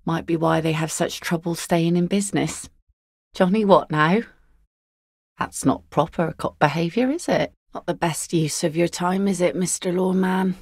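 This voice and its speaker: A woman's voice: on the low side, fairly even intonation, normal speed, vocal fry, darkish tone, breathy edge, no echo. An actress playing a weathered net picker and mother in a video game, dry and plain-spoken. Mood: dry, wry. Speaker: fisherwoman and mother.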